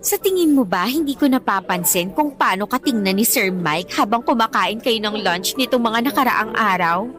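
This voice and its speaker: A woman's voice: on the high side, animated, moderate pace, close to an everyday voice. An actress playing a spoiled, insolent teenage schoolgirl with a Filipino accent in a Tagalog radio drama, taunting and slipping in English. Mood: smug, threatening. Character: spoiled, insolent teenage schoolgirl who blackmails her teacher.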